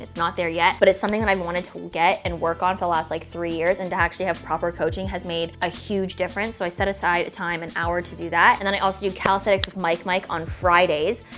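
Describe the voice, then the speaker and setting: A woman's voice: fairly low pitch, fairly bright tone. A 29-year-old woman, a fitness creator, talking casually and at length, peppering her speech with fillers and gushing over cute toys. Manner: chatty and rambling, full of "like" and "okay?"; gushing, squealy delight over little toys. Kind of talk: casual vlog Q&A and unboxing.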